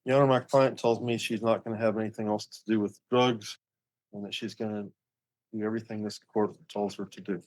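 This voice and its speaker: A man's voice: lowish voice, level tone, fairly quick, darkish tone, dry recording, poor audio. A man speaking formally as a defense attorney addressing a judge in court.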